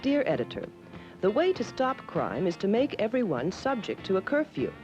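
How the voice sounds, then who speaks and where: A woman's voice: mid-range voice, sing-song, moderate pace, lightly acted. A woman playing an opinionated citizen reading out a letter to a newspaper editor. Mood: insistent. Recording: muffled old film soundtrack with music.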